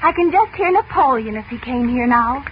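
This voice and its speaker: An excitable, chatty teenage girl in a 1940s radio drama. Her voice is bright and high. The broadcast sound is dull and hissy. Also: moderate pace. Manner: bubbly, excitable. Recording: muffled old radio broadcast with hiss.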